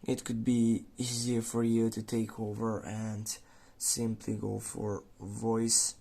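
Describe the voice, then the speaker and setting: Slow-paced man narrating a tutorial. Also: fairly low pitch, completely flat delivery, vocal fry, dark tone. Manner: halting, pausing mid-sentence. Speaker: tutorial host. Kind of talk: screen tutorial narration, improvised.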